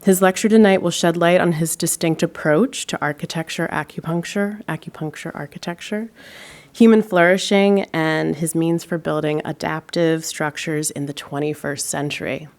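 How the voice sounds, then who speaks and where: A woman's voice: low-pitched, steady tone, moderate pace, a little mellow. A woman hosting an event, reading a prepared introduction of the speaker at a lecture. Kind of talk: read introduction. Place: lecture hall.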